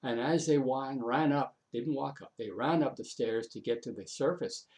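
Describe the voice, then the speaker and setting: A man's voice: mid-range voice, darkish tone. Man telling a folk tale dramatically. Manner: dramatic, unhurried, repeats phrases for effect, conversational asides. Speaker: storyteller. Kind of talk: oral folk tale storytelling.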